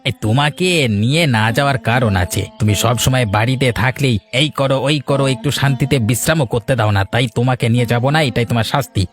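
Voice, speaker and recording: Guy playing a grumbly older village husband in a cartoon, talks really fast, bossy, some music under it. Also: mid-range voice, natural voice. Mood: grumbling. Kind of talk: Bengali cartoon voice acting.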